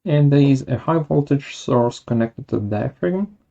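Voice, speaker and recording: A software webinar presenter narrating a tutorial step by step in a measured way, halting between steps. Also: medium pitch, lively intonation, creaky, lo-fi sound.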